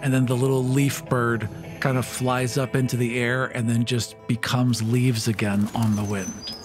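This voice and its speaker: A man's voice: mid-range voice, little pitch movement, moderate pace. Casual man playing, music underneath. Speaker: tabletop game player. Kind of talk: casual improvised game chat.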